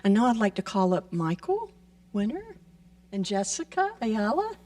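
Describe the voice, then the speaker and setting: A woman making gentle announcements and blessings during a church service. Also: mid-range voice, sing-song. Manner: slow, gentle, blessing-style delivery. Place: church sanctuary. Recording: voice picked up from a distance.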